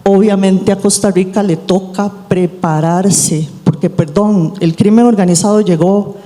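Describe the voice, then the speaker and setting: Woman, panelist, mellow tone, emphatic, reverberant hall. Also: mid-range voice, fairly even intonation, a little slow. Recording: distant mic in reverberant hall.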